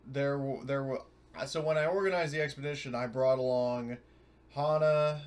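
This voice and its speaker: A man's voice: mid-range voice, steady tone, moderate pace, smooth. A man playing a tabletop roleplaying game, talking informally with some swearing, partly in character.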